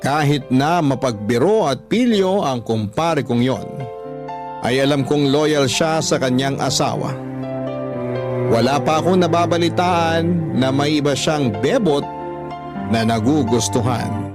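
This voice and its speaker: A man's voice: fairly high pitch, a little slow. Man narrating a confessional letter. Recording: faint music bed behind voice.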